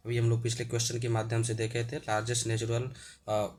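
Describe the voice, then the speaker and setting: A man with a sore throat, explaining an exam-prep lesson with many hesitations and frequent throat clearing. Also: medium pitch, completely flat delivery, fairly quick, darkish tone, a little hiss or hum.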